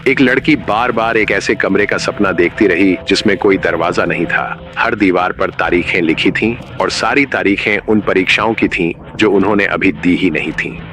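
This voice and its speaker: A man narrates a scripted horror story in a measured, solemn way. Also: sits low.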